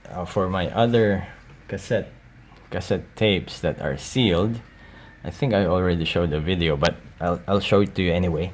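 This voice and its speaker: A man giving a casual, unscripted show-and-tell in a hesitant, halting way. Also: sits low. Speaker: collector showing cassette tapes. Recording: audible steady background noise.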